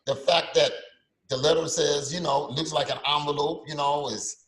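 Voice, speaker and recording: An older man co-hosting a casual podcast, sarcastic and animated, often stammering as he restarts his sentences. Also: on the high side, normal speed, echoey room, very noisy. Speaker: podcast co-host. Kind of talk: casual opinionated chat.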